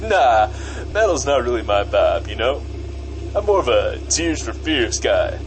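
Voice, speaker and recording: A man playing a laid-back, fast-talking trickster devil in an audio comedy drama, slick and slangy, with vehicle rumble behind him. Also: very animated. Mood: casual, chummy.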